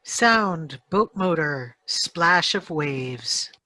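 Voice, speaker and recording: A woman's voice: deep voice, lively intonation, drawn-out words, sharp tone, soft background music. A woman reading out sound-effect cues for a radio play in short phrases. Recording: online video-call performance.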